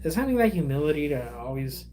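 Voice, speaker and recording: A young man, a Spanish speaker, hosting a casual livestream and chatting with viewers in a rambling, halting way full of fillers and restarts. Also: on the high side, very animated, mellow, slight background noise. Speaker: livestream host.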